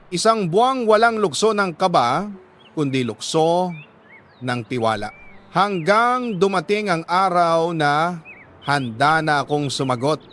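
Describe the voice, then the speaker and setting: A Filipino man narrating a first-person story dramatically, in a sentimental, deliberate delivery with short pausing phrases. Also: high voice, a bit bright. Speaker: radio storyteller reading a listener's letter.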